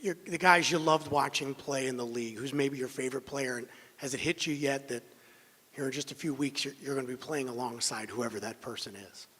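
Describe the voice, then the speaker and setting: A man's voice: medium pitch, steady tone, a little fast, pushed and bright. A man, a television reporter, asking a press conference question and correcting his wording as he goes. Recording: reverberant hall, audience microphone.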